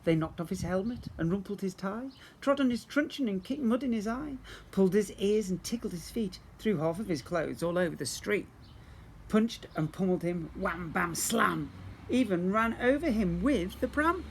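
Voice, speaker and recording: A man's voice: high voice, lively intonation, moderate pace, creaky, slight background noise. A man reading a rhyming storybook aloud to young children like a teacher, theatrically and with asides to his listeners.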